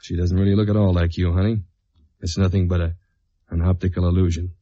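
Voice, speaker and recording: A man's voice: sits low, flat delivery, quick pace, mellow, close to an everyday voice. An actor playing a tough, confident, wry male investigator in a 1950s-style radio detective drama, plain-spoken and self-assured. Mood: teasing, soothing. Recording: dull vintage radio recording with music.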